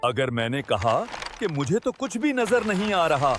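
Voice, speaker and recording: A flattering, nervous courtier from a fairy tale , acted by a man. He speaks high and very slowly, his pitch swinging widely as he hesitates. Music plays clearly behind him. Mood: flattering, uneasy. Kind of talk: dramatised fairy tale acting.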